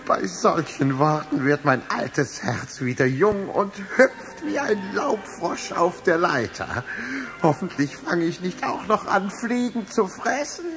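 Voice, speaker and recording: An actor playing an excitable, doting old king in a children's audio play. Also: high voice, slow, music in the background. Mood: giddy, delighted.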